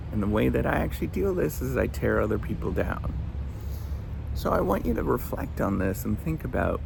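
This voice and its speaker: A man speaking informally and earnestly, giving motivational advice in a squawky voice with halting, repeated phrases and pauses. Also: gravelly, noisy background. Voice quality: squeaky, squawky. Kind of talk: informal motivational monologue to viewers.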